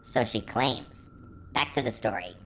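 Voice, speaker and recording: Low-pitched woman reading fan fiction. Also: lively intonation, unhurried, slight background noise. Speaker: story reader, channel host.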